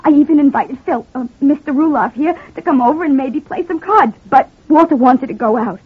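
An actress playing a distraught, halting wife in an old radio crime drama. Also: female voice, high-pitched, lightly acted. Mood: shaken. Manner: hesitant, emotional, halting. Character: distraught wife claiming she shot her abusive husband. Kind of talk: 1940s-50s radio crime drama acting. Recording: muffled, thin old-time radio recording.

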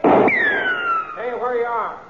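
An actor playing a desperate, defiant cornered outlaw in an old radio western, with a rough drawl and threatening manner. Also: male voice, very high-pitched, wildly swooping pitch, drawn-out words, full character voice. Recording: echoey cave, tinny vintage radio, faint noise.

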